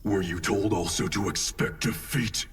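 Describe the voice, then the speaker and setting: An actor playing a proud, stern ninja grandmaster in a video game, speaking in terse, defiant, haughty statements. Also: male voice, low voice, normal speed, recorded from a distance, background noise, natural voice. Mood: cold, defiant. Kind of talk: dramatic video game acting.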